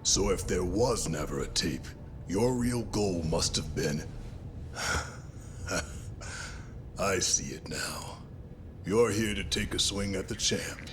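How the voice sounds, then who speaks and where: A deep, menacing gangster villain. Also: male voice, slow, long pauses, noisy background. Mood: grim realisation. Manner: taunting, smug, menacing. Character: cruel, gloating male yakuza villain, former boxer. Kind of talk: dramatic video game cutscene acting.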